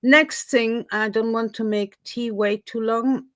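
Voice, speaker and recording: A Swiss French woman hosts a casual livestream chat. Her voice sits a little high and sounds close and dry. She talks loosely, with fillers and frequent laughs. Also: steady tone, slow pace, no echo. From Switzerland. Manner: chatty, laughs often, with fillers. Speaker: livestream host.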